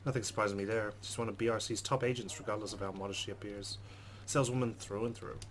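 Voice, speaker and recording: A man's voice: fairly low pitch, steady tone, fast. A man hosting a let's play, reading game text aloud dramatically and adding wry asides. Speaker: gaming host. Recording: faint background noise.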